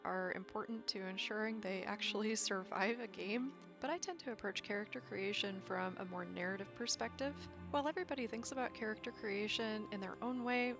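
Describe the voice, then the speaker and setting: A woman giving a casual, explanatory talk as a gaming video host, chatty and informal with self-deprecating asides. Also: medium pitch. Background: music.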